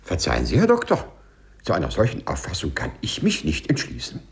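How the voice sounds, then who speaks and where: A man's voice: low voice, huge pitch swings, brisk, gravelly, breathy edge, heavily acted. An actor playing a timid, overly correct male civil servant with hesitant, formal phrasing in a 1950s radio play. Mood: earnest, anxious.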